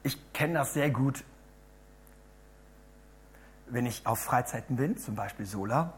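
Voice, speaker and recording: A man with a hoarse voice preaches to a gathered group, talking in a chatty way and turning to them with questions. His delivery jumps between loud, emphatic bursts and quiet, murmured asides. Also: medium pitch, animated, slow. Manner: chatty, asks the audience questions. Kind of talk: lively sermon to a congregation.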